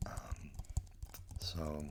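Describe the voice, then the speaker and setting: A young adult ASMR creator, sick with a sore throat, whispering slowly in a deep, raspy voice into a close microphone at home. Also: noisy background. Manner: soft, slow, breathy whispering. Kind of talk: slow whispered ASMR chat.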